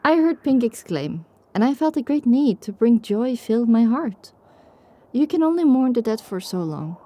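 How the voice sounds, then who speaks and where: A woman's voice: a little high, very animated, moderate pace, dark tone, no echo. A woman playing a reflective pony survivor, narrating her own story in a dramatic audiobook style. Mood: hopeful. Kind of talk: dramatic first-person scripted narration.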